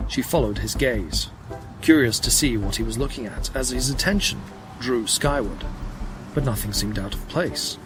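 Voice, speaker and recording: A man's voice: medium pitch, expressive, normal speed, poor audio. A man reading dramatic scripted narration for a science fiction audio drama. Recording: prominent music bed under the voice. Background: loud music.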